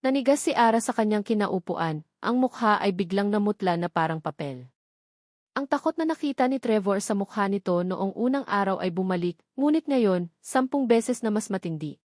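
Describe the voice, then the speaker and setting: A woman with a Filipino accent reads a scripted romance drama as narrator, in a measured, dramatic storytelling manner with short pauses. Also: mid-range voice, lively intonation, darkish tone, no room sound, clean studio sound.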